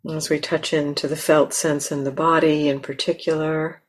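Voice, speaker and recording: A woman meditation teacher leading a guided meditation in a gentle, unhurried manner, often pausing mid-phrase. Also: low voice, flat delivery. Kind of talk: guided meditation, slow with long pauses. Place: meditation center.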